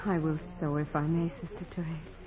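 An actress playing a frail, devout young nun, gravely ill, pleading haltingly in a 1940s radio drama. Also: female voice, low voice, flat delivery, warm tone, close to an everyday voice. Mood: meek, resigned. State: weak, sick, straining to speak. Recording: muffled vintage radio recording, music behind.

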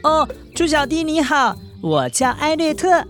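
Man playing a high-voiced, wide-eyed storybook boy. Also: sing-song, measured pace, a bit bright, faint music behind, full character voice. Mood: friendly. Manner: exclaiming, full of wonder. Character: excitable, curious, wide-eyed young boy in a fairy tale.

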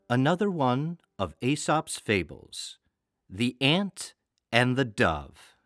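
Slow, expressive male storybook narrator. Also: medium pitch. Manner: measured, expressive. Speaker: fable reader.

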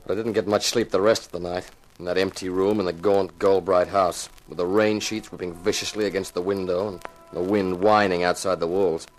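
An actor playing a tough, wry insurance investigator, narrating in 1950s radio detective style. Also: male voice, low voice, steady tone, fairly quick, soft background music, natural voice, rough recording. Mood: brooding, wry. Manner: wry, laconic, dramatic storytelling. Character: tough hard-boiled male insurance investigator.

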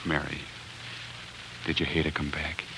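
An actor playing a sober, anxious homesteader husband in a 1940s radio drama, speaking gravely and deliberately. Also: male voice, extremely low pitch, little pitch movement, exaggerated voice. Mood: tender, hopeful. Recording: noisy 1940s radio broadcast recording.

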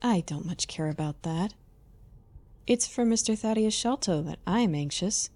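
A woman playing a gentle, principled young Victorian lady in an audio drama, earnest and genteel in manner. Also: on the low side, animated, a little slow. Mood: earnest, concerned.

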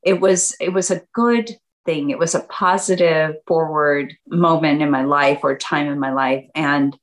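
A woman in her fifties, a guest chatting casually in an interview, animated and acting out what her friends said. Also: unhurried. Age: 50s. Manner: animated, acts out quoted friends in a higher voice. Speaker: guest entrepreneur.

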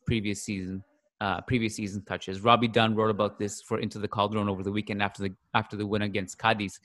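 A man's voice: on the low side, a bit bright. A man co-hosting a football podcast, chatting casually and rambling with frequent restarts as he thinks aloud. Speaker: podcast co-host.

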